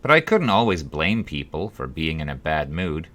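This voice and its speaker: A man reading his own story as an audiobook, in a wry, dryly humorous way with dramatic pauses. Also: a bass voice, sing-song, fairly bright tone. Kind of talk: scripted audiobook narration of a memoir-like story.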